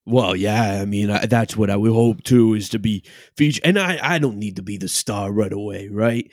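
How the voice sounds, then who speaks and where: A man's voice: fairly low pitch, normal speed, darkish tone, no room sound, clean studio sound, lightly acted. A man playing a brash, self-important male bartender who wants reality-TV fame, talking in a rambling, boastful, mock-modest way. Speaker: guest. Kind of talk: improvised comedy character interview.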